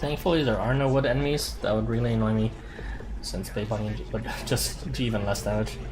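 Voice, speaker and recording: A man with a hoarse voice chatting casually and thinking aloud while he plays a game. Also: fairly low pitch, steady tone, brisk. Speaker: game streamer. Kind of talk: casual chat while gaming. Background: music.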